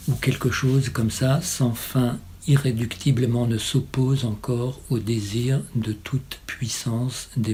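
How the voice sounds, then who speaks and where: A man reciting poetry in a solemn style, with measured, incantatory phrasing and short pauses. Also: medium pitch, mellow, slight background noise. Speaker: poet reading own poem.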